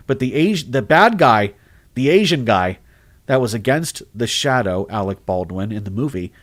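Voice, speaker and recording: A man who narrates horror stories, chatting casually on a livestream, rambling and animated, with lots of restarts. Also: mid-range voice, moderate pace. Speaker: horror story narrator and streamer. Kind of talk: casual livestream chat reading comments.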